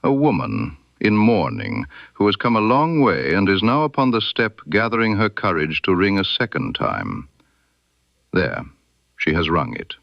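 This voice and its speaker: A man voicing a brilliant, coolly ironic Victorian gentleman detective in an audiobook, precise and incisive. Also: low voice, wildly swooping pitch, measured pace, gravelly, dry recording, lo-fi sound, exaggerated voice. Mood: keen, deductive.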